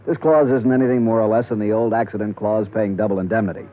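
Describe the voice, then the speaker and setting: An actor with an American accent playing a weary, grumbling insurance executive in an old radio drama. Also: male voice, on the low side, steady tone, quick pace, natural voice. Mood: worn, businesslike. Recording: tinny old radio recording.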